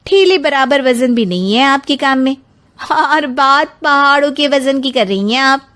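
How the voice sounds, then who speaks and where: A woman's voice: high voice, lively intonation, unhurried, a bit bright. A woman voicing a sarcastic, stubborn young daughter-in-law in a family story, with a taunting manner. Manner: sassy, taunting.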